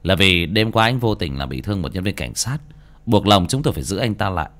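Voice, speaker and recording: A man voicing a stern police officer in an audio story, brisk and matter-of-fact. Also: sits low, sing-song, a bit bright. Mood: firm.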